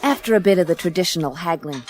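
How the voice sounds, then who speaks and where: An actress playing a tough, wisecracking female private eye in a science fiction noir radio play, with a terse, deadpan delivery. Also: on the low side, quick pace, slight background noise, natural voice. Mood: wry, narrating. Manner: terse, deadpan, hard-boiled. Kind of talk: noir detective radio play, dialogue and narration. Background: clear music bed.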